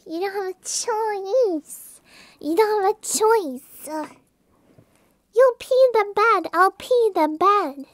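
A woman's voice: very high voice, fairly bright tone, no echo, full character voice. A young woman playing a clingy, playful puppy girl in an ASMR roleplay, whining and pleading to the listener. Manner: whiny pleading with yips and giggles.

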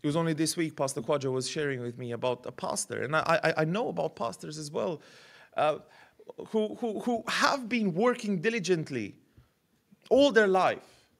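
A man in his thirties preaching a sermon at a podium mic in a church hall, animated and gesturing, often repeating words as he builds a point. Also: a little high, moderate pace, vocal fry. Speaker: pastor. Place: church hall with curtained stage.

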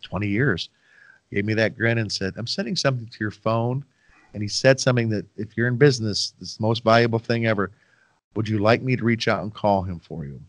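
Rambling middle-aged podcast host, dry sound. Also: male voice, on the low side, no room sound. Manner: rambling, self-deprecating, folksy asides to listeners. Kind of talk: casual interview chat. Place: home room, video call. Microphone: headset with boom mic.

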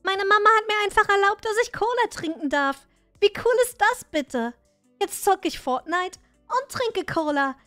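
A gleeful little boy squeals and boasts in a high, bouncy voice, put on by a woman. He sounds thrilled with himself and can't keep still. There is faint music in the background. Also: animated, moderate pace, pushed and bright, full character voice. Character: gleeful little boy bragging about cola.

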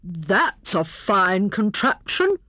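A woman voicing a whimsical, vain male hare in a children's storybook, in a pompous, drawn-out manner. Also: mid-range voice, big pitch swings, sharp tone, no room sound, studio quality, full character voice. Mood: amazed, excited.

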